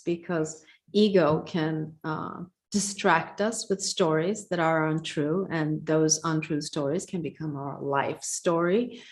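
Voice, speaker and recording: A middle-aged woman speaks slowly and thoughtfully, almost like meditating, in a live online chat. Her voice sits a little low. She sounds calm and grounded over a video call. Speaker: co-host and coach. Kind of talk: reflective live-stream conversation.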